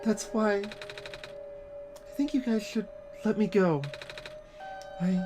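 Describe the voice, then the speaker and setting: A woman voicing a gentle, selfless lost child in a video game, speaking in a hesitant, earnest way. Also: medium pitch, takes it slowly, music in the background, close to an everyday voice. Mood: earnest resolve. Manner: hesitant, soft-hearted, earnest. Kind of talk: voiced video game dialogue reading.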